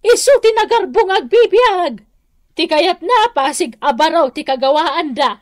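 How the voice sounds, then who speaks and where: A woman playing a worried, scolding mother of a grown daughter in an Ilocano-accented radio drama, sighing and admonishing in drawn-out phrases. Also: very high-pitched, lively intonation, no room sound, studio quality. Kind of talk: Filipino radio comedy drama acting.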